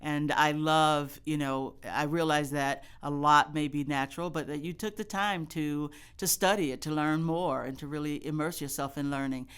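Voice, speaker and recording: Smooth, low-pitched woman interviewer. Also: steady tone, normal speed, hardly any pauses. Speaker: podcast host, interviewer. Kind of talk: conversational interview.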